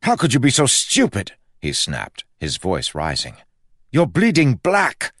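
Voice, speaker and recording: A man voicing a furious young man whose voice rises into angry shouting. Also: rumbling low, unhurried, vocal fry, no echo, studio quality, full character voice. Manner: shouting, rising, accusing. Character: furious young man, sharp-tongued, losing his temper.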